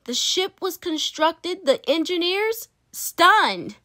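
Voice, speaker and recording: A woman's voice: very high voice, big pitch swings, takes it slowly, bright, forceful tone, dry recording, clean studio sound. A woman reading a children's picture book aloud expressively, with dramatic emphasis and playful asides. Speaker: read-aloud storyteller.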